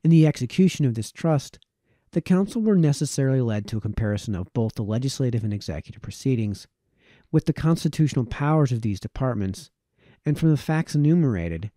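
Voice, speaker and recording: A man narrates a formal old text in a very dark, soft-edged voice. His phrasing is measured and formal, and the sound is dry and close, with little room around it. The pitch sits in an ordinary male range. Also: expressive, no room sound. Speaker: reader, narrator. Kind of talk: reading a historical political text aloud, scripted narration.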